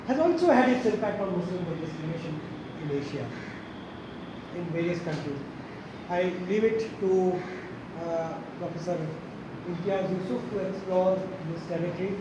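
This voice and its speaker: A man moderating a lecture event, giving opening remarks in a halting way, full of fillers and restarts. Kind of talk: introductory remarks. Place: lecture hall. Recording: distant, very echoey hall with noise and rumble.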